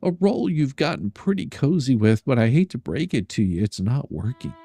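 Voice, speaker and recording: A man's voice: medium pitch, big pitch swings, brisk, mellow, husky, soft background music. An older man hosting a stream, reading a letter aloud in a sarcastic, mocking and theatrical way. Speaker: streamer and host.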